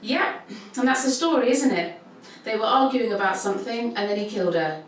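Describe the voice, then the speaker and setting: A woman's voice: a little high, fairly quick, natural voice. A woman playing a guarded pub worker who tells local ghost tales in hesitant, clipped answers, as in a recorded interview. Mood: uneasy, matter-of-fact. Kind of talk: naturalistic audio drama interview. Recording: echoey room, distant mic, faint noise.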